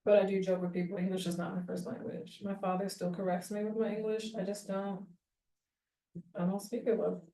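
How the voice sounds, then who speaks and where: A woman in her thirties chatting casually while doing her hair, meandering and self-deprecating, thinking out loud as she goes. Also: mid-range voice, little pitch movement, a little fast. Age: 30s. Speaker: small-business vlogger. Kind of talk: rambling casual chat. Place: home bathroom. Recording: echoey bathroom, voice far from mic.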